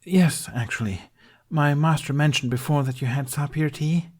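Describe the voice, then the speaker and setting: A man's voice: a little high, normal speed, a little mellow, pitched above the performer's own voice, lightly acted. A man acts out a young apprentice monk from a storybook. He is earnest and polite, and speaks hesitantly and apologetically, anxious for his master. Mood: apologetic. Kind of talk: audiobook character dialogue.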